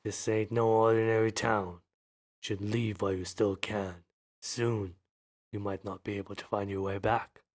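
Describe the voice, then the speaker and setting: A man's voice: fairly low pitch, flat delivery, measured pace. A man voicing a grim, secretive town alderman from a fantasy game, with a foreboding delivery. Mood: ominous warning. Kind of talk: amateur voice-over of game dialogue.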